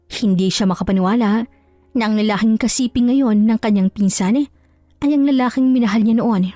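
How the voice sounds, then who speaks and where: A woman's voice: mid-range voice, warm tone, dry recording. A woman narrating a scripted dramatic love story as a storyteller.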